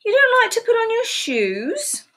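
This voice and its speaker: A woman's voice: very high-pitched, huge pitch swings, unhurried, a bit bright. A woman in her sixties reading a picture book aloud to young children in a sing-song storytelling voice, asking them questions, recorded on a webcam at home. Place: small room at home, indoors. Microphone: webcam at desk.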